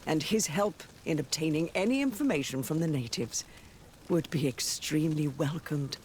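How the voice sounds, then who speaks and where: An actor playing a dignified, pious high cleric in a video game, speaking formally and solemnly. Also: a little hiss or hum, close to an everyday voice.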